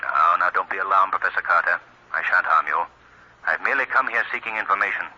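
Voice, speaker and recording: An actor playing an invisible, coolly menacing avenger in an old radio mystery, speaking with formal, deliberate, mocking authority. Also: male voice, sits low, sharp tone, full character voice. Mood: calm, commanding. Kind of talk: 1940s radio mystery acting. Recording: dull, muffled old radio recording.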